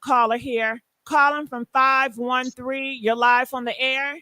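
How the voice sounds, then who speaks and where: High-pitched lady host from detroit, dry sound, nice to callers. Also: level tone, a little slow, sharp tone, no room sound. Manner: friendly, encouraging to callers. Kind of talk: live call-in show hosting.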